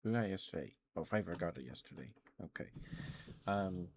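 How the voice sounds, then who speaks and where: A soft-spoken man hosting a casual ASMR livestream, talking to viewers in an unhurried, affectionate way. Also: sits low, expressive, slight background noise. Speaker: streamer, host of the show.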